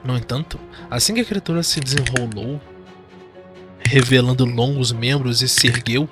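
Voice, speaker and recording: Narrador brasileiro de audiodrama de terror. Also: male voice, medium pitch, normal speed. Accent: Brazilian. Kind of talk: dramatic scripted horror-fantasy narration. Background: music.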